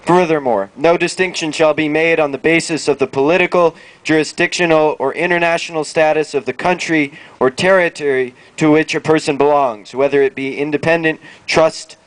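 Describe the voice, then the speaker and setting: A man with a slightly high voice reads a formal document aloud to a public gathering. His phrasing is measured and deliberate, and the sound is dry, free of echo. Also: fairly even intonation. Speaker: reader at a public event. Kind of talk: formal read-aloud of a declaration. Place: outdoor town plaza.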